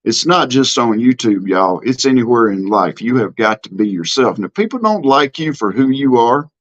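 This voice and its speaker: A man's voice: medium pitch, animated, normal speed, lo-fi sound. A middle-aged man from Mississippi with a Southern accent, chatting casually on his live stream and answering comments in a plainspoken, drawling way with many ums and restarts. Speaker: livestream host.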